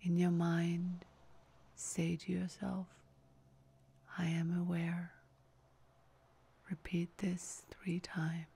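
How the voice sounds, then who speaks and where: A woman leading a scripted guided relaxation meditation in a soft, breathy, near-whispered voice, giving calm, soothing, measured instructions. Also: fairly low pitch. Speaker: yoga nidra meditation guide.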